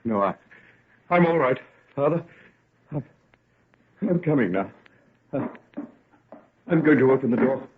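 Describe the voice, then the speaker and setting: An actor playing an ailing, obsessed scientist son in an old-time radio drama, secretive yet grandiose, speaking in halting, broken phrases. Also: male voice, mid-range voice, animated, slight background noise, natural voice. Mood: weak, shaken, evasive. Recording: tinny, band-limited old radio recording.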